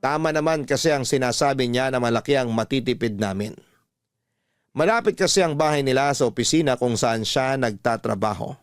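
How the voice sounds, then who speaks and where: A man narrating a listener's letter in first person on a radio storytelling show, steady and earnest. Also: mid-range voice, brisk, clean tone, no echo, studio quality, soft background music.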